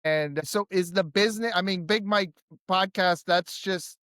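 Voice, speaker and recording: A man's voice: high voice, a little slow, fairly bright tone, no room sound, studio quality. A young man hosting a podcast, asking enthusiastic, rambling questions with frequent restarts. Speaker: podcast host. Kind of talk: podcast interview.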